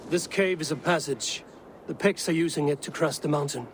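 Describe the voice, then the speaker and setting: A stoic male actor as a Viking raider hero in a video game. He speaks plainly and firmly, in a measured way. His voice is slightly high, with faint noise behind it. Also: close to an everyday voice. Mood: steady. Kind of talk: dramatic video game cutscene acting.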